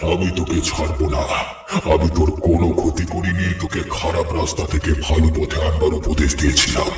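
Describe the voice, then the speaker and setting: A man's voice: very deep voice, flat delivery, a little fast. A man voicing the solemn, menacing ghost of a murdered villager, out for vengeance, in a melodramatic cartoon. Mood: menacing, bitter. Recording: heavy echo, distant voice, clear music.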